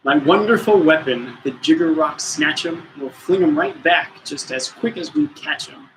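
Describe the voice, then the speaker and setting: A man puts on a mean, rude rival from a children's storybook. He snarls with menace and heavy emphasis, his pitch somewhat high. Some noise runs in the background. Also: moderate pace, fairly bright tone, natural voice, poor audio. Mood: menacing, taunting.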